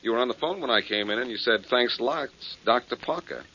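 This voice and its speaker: A man's voice: mid-range voice, lively intonation, a little fast, pushed and bright, breathy edge, exaggerated voice. A man playing a cocky, wisecracking detective with a glib, needling manner in a 1940s radio drama. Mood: breezy teasing. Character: cocky, wisecracking amateur detective, teasing and quietly menacing. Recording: muffled, noisy 1940s radio recording.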